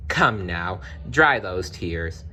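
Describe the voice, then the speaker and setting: A man voicing a kindly, absent-minded little fairy godmother in a storybook, gentle and encouraging. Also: sits low, lively intonation, very slow, slight background noise, exaggerated voice. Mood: soothing, scatterbrained.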